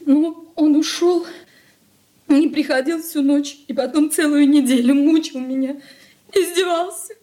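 An actress in a Soviet radio drama playing a distraught young runaway wife, pleading and tearful. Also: female voice, high-pitched, steady tone, unhurried, dark tone. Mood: anguished pleading.

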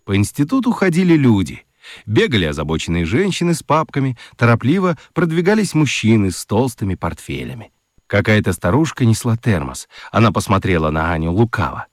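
A man narrating a children's story in an expressive, theatrical storytelling manner. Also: lowish voice, no echo, studio quality.

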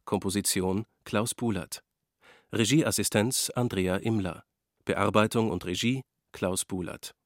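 A man's voice: on the low side, steady tone, measured pace, no room sound, clean studio sound. A man reading a formal scripted announcement as a radio announcer.

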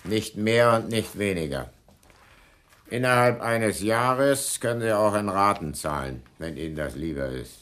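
An actor playing a cold-blooded blackmailer in a radio crime drama, speaking in a deliberate, condescending and commanding way. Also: male voice, on the low side, lively intonation, fairly bright tone. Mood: coldly menacing. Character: menacing, cold-blooded male bookseller turned blackmailer.

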